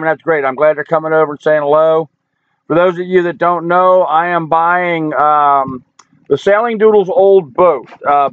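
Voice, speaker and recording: Older male live-stream host, hoarse and folksy, dry lo-fi sound, slightly high voice. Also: dry recording. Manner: chatty, greets viewers by name, folksy. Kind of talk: casual live chat reading viewer comments. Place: home in rural Alaska.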